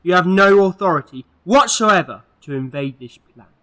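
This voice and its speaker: An actor playing an earnest, authoritative peacemaking hero in a sci-fi audio drama, urgent and admonishing. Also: male voice, high-pitched, lively intonation, normal speed, fairly bright tone, low-quality recording. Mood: stern, reasoning.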